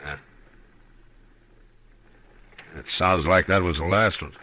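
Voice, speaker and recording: Deep-voiced, steady frontier lawman. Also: fairly quick. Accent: American. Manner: terse, steady, authoritative. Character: calm, level-headed, commanding frontier U.S. marshal. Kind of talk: old-time radio western acting. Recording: noisy vintage radio recording.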